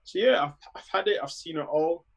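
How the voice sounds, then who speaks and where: A man's voice: a little high, lively intonation, fairly quick, creaky. A man in his thirties with a London accent, giving blunt, dismissive opinions in a casual panel chat over a video call. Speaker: guest panelist.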